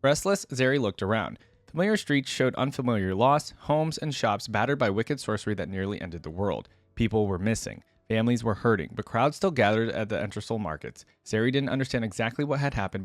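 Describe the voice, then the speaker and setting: A man with a fairly low voice hosts a reaction video, reading story text and adding casual comments. The sound is dry and close, with little room around it. His delivery is informal and conversational. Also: no room sound. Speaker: reaction video host and reader.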